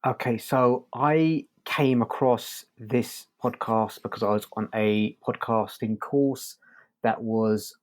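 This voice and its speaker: A man's voice: mid-range voice, steady tone, slow, darkish tone. A man hosting a podcast, talking through a review in a casual, hesitant, circling way.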